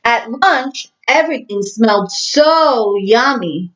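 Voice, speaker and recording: A woman teacher reading a children's storybook aloud, slow and expressive. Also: on the high side, dull audio.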